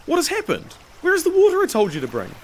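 A man's voice: extremely high pitch, huge pitch swings, fast, a little hiss or hum, pitched above the performer's own voice, heavily acted. A man voicing a commanding, impatient young man in a told folk tale, with a curt, demanding manner. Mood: alarmed, demanding. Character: commanding young male lover, impatient, weary, quick-tempered.

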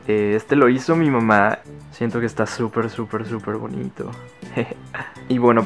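Chatty mexican guy in his 20s, camera held out, walking around his mom's mobile home, soft music under. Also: mid-range voice. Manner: chatty, giggly, affectionate. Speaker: vlogger. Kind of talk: casual house tour vlog. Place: mobile home, indoors and outdoors. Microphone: handheld camera at arm's length.

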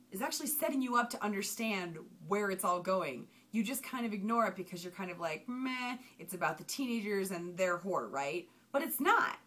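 A young woman talking casually to her webcam at home, chatty and emphatic as she gives a movie review. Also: medium pitch. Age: young adult. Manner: chatty, animated hand gestures, emphatic outbursts. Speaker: vlogger. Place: small home room with shelves. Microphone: webcam at desk.

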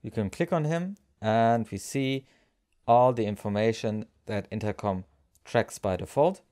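Low, mellow male tutorial presenter. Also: a little slow. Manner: explanatory, step-by-step. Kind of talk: screencast software tutorial narration.

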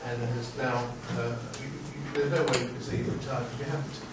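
An older man hosting a seminar with an informal, rambling, halting and jokey introduction. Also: mid-range voice, fairly even intonation. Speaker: seminar host introducing a speaker. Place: small seminar room. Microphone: far camera microphone. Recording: distant mic, echoey noisy room.

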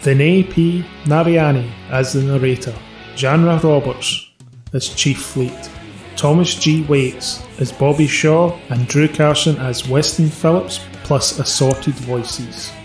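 A male announcer reads scripted credits at a slow pace. His mid-pitched voice has a roomy echo, and faint guitar music plays underneath. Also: fairly even intonation. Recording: roomy sound. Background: soft guitar music.

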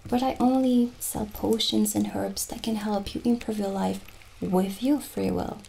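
Woman playing a slow, mellow, dreamy witch. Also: mid-range voice, fairly even intonation, vocal fry, rough recording. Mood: welcoming, gentle. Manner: slow, musing, soothing, with pauses. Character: gentle, dreamy female witch shopkeeper selling potions. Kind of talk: improvised ASMR roleplay.

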